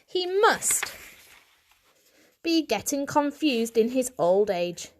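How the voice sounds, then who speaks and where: A woman's voice: sits high, very animated, slow pace, voice pushed up, heavily acted. A woman voicing a complacent, blustering male cat, a father who speaks in a dismissive, assertive way in a storybook. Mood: dismissive, defiant.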